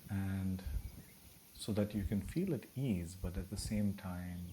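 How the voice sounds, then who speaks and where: Low-voiced man guiding meditation very slowly. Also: dark tone, slight background noise. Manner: soothing, unhurried, with long pauses between phrases. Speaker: meditation guide. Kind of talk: slow, gentle guided meditation.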